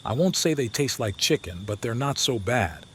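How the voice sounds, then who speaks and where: A man's voice: medium pitch, expressive, normal speed, a little hiss or hum, close to an everyday voice. A man playing a matter-of-fact, weary leader of apocalypse survivors in an audio comic. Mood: grim, matter-of-fact. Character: grim, plain-spoken survivor leader of a town. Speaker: voice actor. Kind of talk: audio comic dub, dramatic dialogue.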